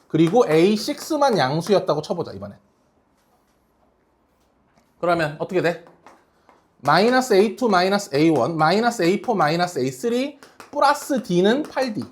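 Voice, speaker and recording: A math teacher working through problems in a classroom lesson, speaking casually and often asking students questions to check they follow. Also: mid-range voice.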